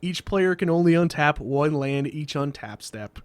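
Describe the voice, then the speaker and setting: A man with a somewhat high voice narrates a scripted comedic explainer as its host. His delivery is wry and sardonic, and the sound is close and dry, with no room on it. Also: lively intonation, moderate pace, no room sound. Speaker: host of a card game video series.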